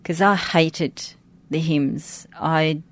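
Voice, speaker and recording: A woman's voice: sits low, extremely slow. A middle-aged woman who speaks English as a second language, chatting informally as an interview guest and thinking aloud as she goes. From grew up outside Australia. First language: a language other than English. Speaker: interview guest, church worship team member. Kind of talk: conversational interview.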